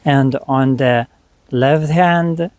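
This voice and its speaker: A man leading a guided meditation, speaking to the listener in short, unhurried phrases with long pauses between them. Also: medium pitch, a little mellow, dry recording.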